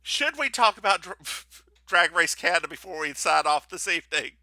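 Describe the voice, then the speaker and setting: Teasing podcast guy, super bright voice, bouncy pitch, dry sound. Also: sing-song, moderate pace, dry recording. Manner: playful, teasing. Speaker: podcast co-host. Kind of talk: casual chat.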